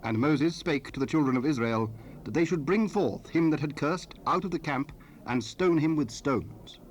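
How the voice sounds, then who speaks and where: A man's voice: a little high, animated, normal speed, lightly acted. A man narrating a dramatized Bible in a solemn, formal scripted style. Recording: noisy 1970s cassette rip.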